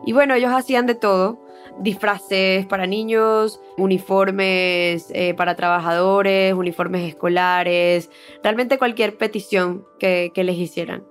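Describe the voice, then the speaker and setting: A woman's voice: mid-range voice, steady tone, measured pace, faint music behind. A woman with a Venezuelan accent reporting conversationally, often repeating words as she searches for the next one.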